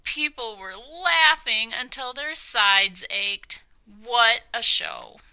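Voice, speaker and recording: Bright, slow-paced woman reading a storybook. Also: a little high, expressive. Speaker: storybook narrator. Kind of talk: expressive scripted children's story narration.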